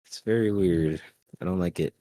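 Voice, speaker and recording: A man's voice: low-pitched, normal speed, warm tone, dry recording. A man chatting informally as a podcast co-host about games, griping in an offhand, conversational way. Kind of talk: casual chat about video games.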